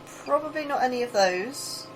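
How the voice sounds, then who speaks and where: An actress playing an anxious, curious scientist in a sci-fi audio drama, earnest, awkward and a little hesitant. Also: female voice, sits high, lively intonation, normal speed, slight background noise, low-quality recording. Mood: awkward, friendly. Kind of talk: audio fiction acting.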